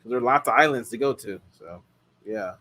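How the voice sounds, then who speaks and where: A man's voice: mid-range voice, a little fast, bright, forceful tone. A man in his thirties from the United States, chatting casually with viewers and answering their questions, rambling with many fillers and restarts. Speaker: car rental host answering viewer questions. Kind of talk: casual live chat.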